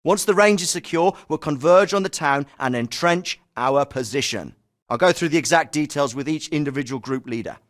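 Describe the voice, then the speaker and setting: An actor playing a military commander giving a measured, deliberate battle briefing in a video game. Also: male voice, on the high side, animated, lightly acted. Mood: resolute. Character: confident male military commander briefing troops.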